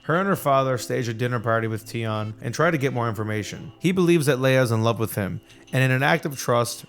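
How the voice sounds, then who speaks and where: Fast-talking man over music. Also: medium pitch, a bit bright. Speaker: video essayist. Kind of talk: scripted video essay narration. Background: background music.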